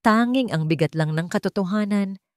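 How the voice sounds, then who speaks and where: A woman telling a dramatic love story in a slow, solemn storytelling manner. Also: mid-range voice, a little mellow, no room sound, clean studio sound. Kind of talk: scripted dramatic narration.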